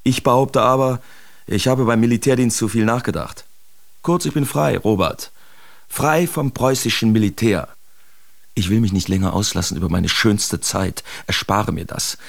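An actor playing a sardonic, self-absorbed bohemian letter writer in a literary radio drama, with theatrical, deliberate diction. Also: male voice, fairly low pitch, gravelly, no room sound. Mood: sardonic relief. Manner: theatrical, deliberate, ornate diction with pointed emphasis.

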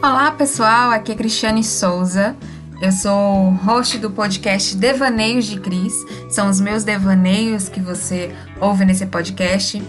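A woman's voice: mid-range voice, slow pace, faint music behind. Friendly Brazilian woman promoting a podcast. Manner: friendly, conversational. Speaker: podcast host.

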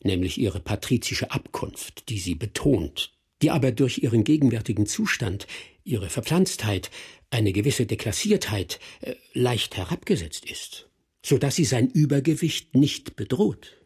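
A very husky creaky know-it-all, ironic and ornate, pitch swoops around, radio play. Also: male voice, big pitch swings, measured pace, darkish tone, heavily acted. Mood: amused, sardonic. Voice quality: raspy, creaky. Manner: mannered, ornate, sardonic. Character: ironic educated man dissecting an engaged couple with relish. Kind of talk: German radio drama acting.

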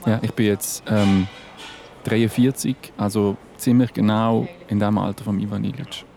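A man's voice: on the low side, steady tone, moderate pace, creaky, warm tone. A man in his forties playing a man who faces death, speaking a reflective, anguished monologue in an audio drama. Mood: defiant. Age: 40s. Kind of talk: theatrical audio drama monologue over music.

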